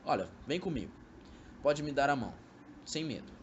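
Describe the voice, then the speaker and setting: A man's voice: medium pitch, animated, moderate pace, dull audio, a little hiss or hum, natural voice. A young man acting a sly, coaxing former lover in an amateur radio drama, speaking Brazilian Portuguese with poetic, theatrical delivery. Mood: coaxing, impatient.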